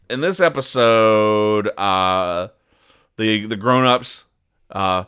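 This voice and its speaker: This is a man with a fairly low, forceful and clean-sounding voice. He delivers comedic commentary as a podcast host. His manner is deadpan and mock-serious, with halting asides as he explains. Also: smooth. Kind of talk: deadpan comedic commentary, recapping comic strips.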